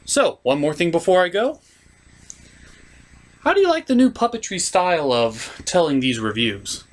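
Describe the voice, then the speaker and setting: A man's voice: high voice, a bit bright. A man giving a casual, opinionated cartoon review as an online host, blunt and a bit showy.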